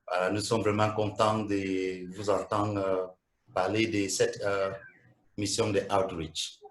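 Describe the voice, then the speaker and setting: A man's voice: lowish voice, level tone, unhurried, reverberant. A man moderating a webinar Q&A, polite and hesitant, with many fillers. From Cameroon.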